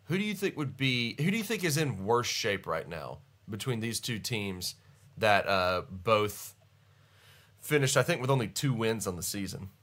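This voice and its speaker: A man's voice: lowish voice, big pitch swings, normal speed, a bit bright. Congested man hosting a casual stream. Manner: rambling, self-deprecating, laughs often. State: stuffy, congested voice. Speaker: podcast host. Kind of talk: casual live-stream chat.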